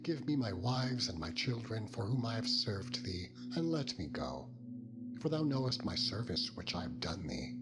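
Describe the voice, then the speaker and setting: A man's voice: lowish voice, big pitch swings, moderate pace, pitched above the performer's own voice. A man voicing a proud, aggrieved herdsman with firm, declamatory archaic phrasing in a dramatized scripture reading. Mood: firm, pleading. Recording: loud music over the voice.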